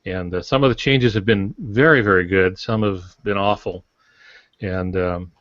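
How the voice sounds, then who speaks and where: An older man, a veteran author, talking casually on a podcast with dry, measured humour. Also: fairly low pitch, fairly bright tone, no echo. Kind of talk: casual conversation. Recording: dull close lo-fi audio.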